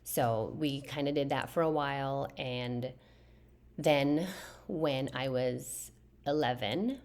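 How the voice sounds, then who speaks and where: A soft-spoken woman in her thirties answers her viewers' questions in a relaxed, chatty way. Her voice sits very low and has a gravelly fry. She often pauses and restarts as she talks. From Philippines. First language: a Philippine language. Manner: soft-spoken, hesitant with many fillers and restarts. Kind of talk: casual chat to camera.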